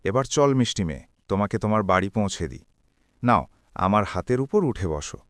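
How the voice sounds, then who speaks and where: A man's voice: lowish voice, animated, brisk, fairly bright tone, no room sound, studio quality. A man voicing a friendly, helpful magical tree in a cartoon, kindly and eager to help. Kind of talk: cartoon voice acting.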